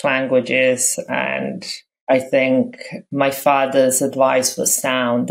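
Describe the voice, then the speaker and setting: A woman from Croatia in her fifties, speaking English as a later language, talks through her background as a podcast guest. Her voice sits very low for a woman. She is reflective and stops often with fillers and restarts. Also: creaky, low-quality recording. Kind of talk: casual interview talk.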